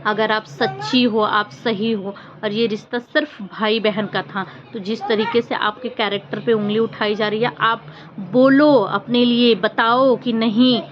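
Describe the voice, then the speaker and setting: A woman's voice: on the high side, fairly even intonation, a little slow. A woman giving informal opinion commentary to her viewers, speaking in an earnest, reproachful, emphatic manner with rhetorical questions. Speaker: vlogger commenting on social media controversy. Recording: muffled lo-fi audio with faint noise.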